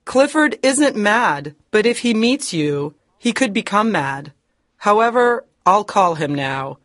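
A male audiobook narrator voicing a bitter old woman who defends her brother, in a husky voice. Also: fairly high pitch, takes it slowly, voice pushed up. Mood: pleading, accusing. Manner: pleading, accusing. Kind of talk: audiobook character voice.

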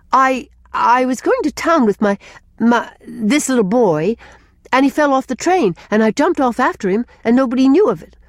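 A woman's voice: a little high, a little mellow, dry recording, clean studio sound. A woman voicing a plucky, sensible young woman in an audiobook story. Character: young woman, sensible, plucky, chatty, flustered when cornered. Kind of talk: audiobook character dialogue.

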